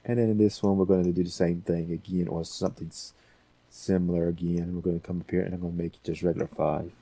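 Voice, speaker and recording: A man's voice: deep voice, little pitch movement, fast, mellow, breathy edge, no room sound. A man hosting a casual audio editing tutorial, thinking aloud in a folksy, rambling way.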